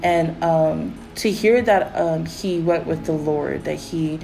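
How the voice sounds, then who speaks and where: A woman hosting a casual faith podcast, with a raspy voice and a rambling manner full of fillers. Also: sits low, takes it slowly, poor audio. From Pacific Islands. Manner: rambling, many fillers, animated when excited. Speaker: podcast host.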